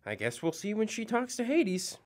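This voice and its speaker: An actress playing a mischievous goddess who co-narrates an audio drama with playful, sardonic asides. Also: female voice, medium pitch, big pitch swings, normal speed, close to an everyday voice. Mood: teasing. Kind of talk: scripted banter.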